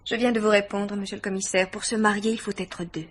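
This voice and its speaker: An actress playing a guarded, anxious yet self-possessed young woman in a French radio mystery play, speaking gravely and formally. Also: mid-range voice, fairly even intonation, fast, lightly acted. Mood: anguished, reticent. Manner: grave, formal, hesitant but pointed.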